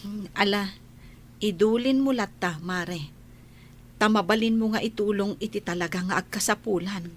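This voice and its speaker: A woman's voice: medium pitch, normal speed, long pauses, lightly acted. An actress playing a devout, sympathetic friend in an Ilocano radio drama, earnest and consoling as she advises. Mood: advising.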